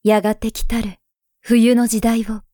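A woman voicing a hushed, grave letter-writer. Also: on the high side, little pitch movement, unhurried, no echo, clean studio sound, natural voice. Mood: earnest. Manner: soft, hushed, often near a whisper. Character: grown woman writing to her past self, grave and pleading. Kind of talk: voice drama letter monologue.

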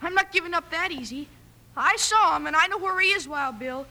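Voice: very high voice, brisk, bright, forceful tone, heavily acted. A performer playing an eager, stubborn fourteen-year-old boy in an old radio western, talking with pleading eagerness. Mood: excited, bargaining. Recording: slightly noisy old radio recording.

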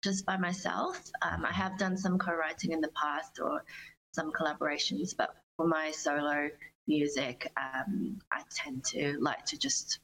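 A woman's voice: sits low, steady tone, unhurried, fairly bright tone, poor audio. A young woman answering interview questions as a singer-songwriter, speaking conversationally in a reflective, earnest way. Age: young adult.

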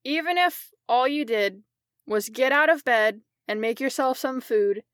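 A woman with a somewhat high voice chats on a voice-acting podcast. She speaks supportively and encourages the other hosts and the listeners. The sound is dry and close to the mic. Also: expressive, a little slow, a bit bright, no echo. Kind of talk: casual chat.

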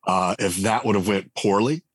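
A middle-aged man, a game writer and designer, talking casually in an interview and telling relaxed stories with frequent restarts. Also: fairly low pitch, moderate pace, breathy edge, no room sound. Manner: relaxed storyteller, many restarts and fillers.

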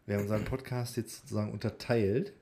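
A man's voice: lowish voice, steady tone, fast talker, warm tone. A man co-hosting a podcast in casual banter, with a jokey, teasing way of talking. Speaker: podcast co-host.

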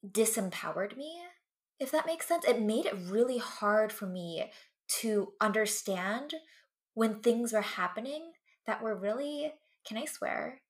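A young woman speaking earnestly and reflectively in a casual podcast conversation, often checking in with "right?" and "like". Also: fairly high pitch. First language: English. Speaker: guest.

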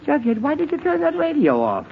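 A man's voice: very high voice, huge pitch swings, fairly quick, vocal fry, exaggerated voice. An actor playing an exasperated, sputtering middle-aged father in a 1940s radio comedy. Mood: impatient. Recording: muffled, hissy 1940s radio recording.

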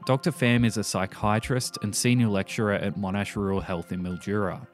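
Male host, fairly low voice, scripted narration, music bed behind. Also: fairly even intonation, a little fast.

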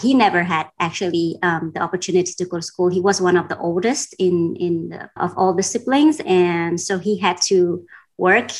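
A woman's voice: fairly low pitch, moderate pace. Thoughtful, hesitant woman from Denmark in conversation. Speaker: interview guest. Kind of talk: casual reflective conversation.

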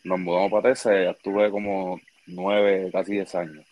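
A Puerto Rican man in his twenties, a baseball pitcher, chatting casually in an interview with a relaxed, laid-back manner. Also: normal speed, low-quality recording. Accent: Puerto Rican Spanish. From Puerto Rico. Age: 20s.

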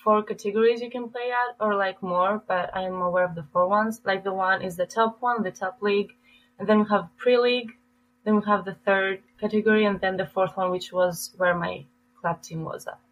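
Young raised woman, relaxed and chatty. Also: mid-range voice, moderate pace, poor audio. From Greece. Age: 20s. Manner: relaxed, lots of "like" fillers. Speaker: college volleyball player guest. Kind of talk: casual interview answers.

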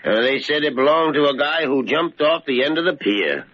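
A man's voice: on the high side, lively intonation, moderate pace. An actor playing a grim, matter-of-fact old waterfront man in an old-time radio drama. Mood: grim, flat. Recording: muffled, hissy old radio recording.

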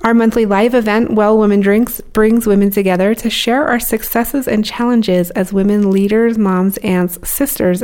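A woman's voice: mid-range voice, darkish tone. A woman hosting a podcast, asking interview questions and reading announcements in an upbeat way. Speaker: podcast host. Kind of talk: interview and sponsor reads.

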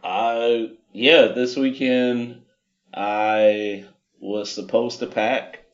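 Slow, wry man telling a story. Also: mid-range voice, level tone, muffled recording. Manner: wry, self-mocking, laughs through stories. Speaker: podcast guest. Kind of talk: casual storytelling.

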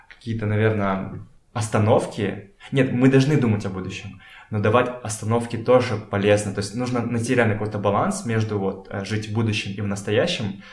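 A man talking casually as a podcast guest, telling stories in a halting way as he searches for words. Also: fairly low pitch. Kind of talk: casual conversational storytelling. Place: indoors in a home. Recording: echoey room.

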